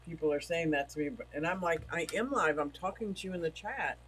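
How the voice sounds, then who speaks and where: A man's voice: a little high, a little fast, a bit bright, a little hiss or hum, low-quality recording. A man hosting a casual livestream, chatting and reading viewer comments aloud, feeling like he is losing his voice. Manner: rambling, chatty, reads chat messages aloud. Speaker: livestream host. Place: home.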